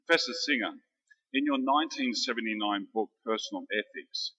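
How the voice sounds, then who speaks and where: A man putting a challenging question at a public debate, persistent and confrontational, with halting phrasing. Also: lowish voice, sharp tone, slightly rough, muffled recording. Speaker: audience questioner. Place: large town hall.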